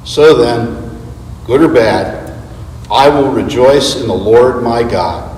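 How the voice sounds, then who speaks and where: A man giving an earnest, deliberate dramatic reading of a script as a church readers theater performer. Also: mid-range voice, a little mellow. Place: large reverberant church space. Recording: echoey hall, distant mic, background noise.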